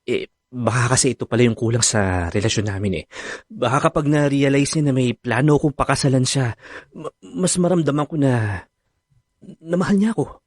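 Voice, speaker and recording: A man's voice: medium pitch, moderate pace, long pauses, dry recording, clean studio sound, natural voice. A man with a Filipino accent playing an anxious, devoted boyfriend in a radio drama, speaking in a hesitant, earnest way. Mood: nervous, determined.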